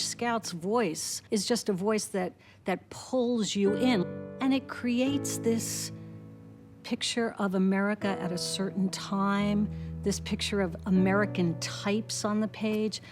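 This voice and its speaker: A woman with a medium-pitched voice comments on literature for a documentary. She is emphatic and admiring, with clear guitar music behind her. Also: slow pace. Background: musical score with guitar.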